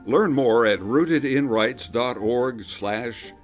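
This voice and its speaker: A man's voice: mid-range voice, takes it slowly, soft background music. A man narrating a scripted awareness video in an upbeat, lightly joking voice-over.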